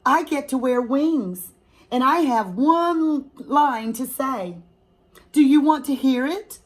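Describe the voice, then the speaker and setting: A woman reader puts on an eager little girl from a picture book. The voice sits high and is earnest and excited. Her words come out with clear emphasis. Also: slow.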